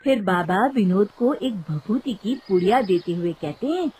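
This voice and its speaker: A woman's voice: mid-range voice, animated, moderate pace, a little mellow, a little hiss or hum. A woman reading scripted narration for a moral storybook cartoon.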